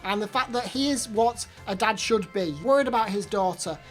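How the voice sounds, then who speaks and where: A woman's voice: medium pitch, normal speed, soft background music. A woman hosting an anime review channel, chatting casually through an episode recap while her voice is slightly off from hay fever. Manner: chatty recap with repeated words.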